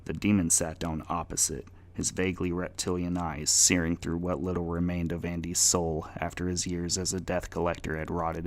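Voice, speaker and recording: A man's voice: low-pitched, a little mellow. A man giving a dramatic scripted reading as the narrator of a darkly comic story.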